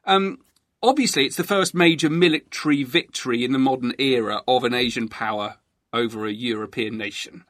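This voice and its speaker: A man's voice: mid-range voice, fairly quick, a bit bright, dry recording, clean studio sound. A man hosting a conversational history interview, thinking aloud in an informal way. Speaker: interviewer and history podcast host.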